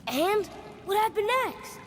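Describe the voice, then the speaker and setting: A man's voice: very high-pitched, expressive, slow, fairly bright tone, a little hiss or hum, heavily acted. A young male actor playing a curious, cocky boy, the son of a god, in a video game. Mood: curious, uneasy. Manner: inquisitive, youthful, casual.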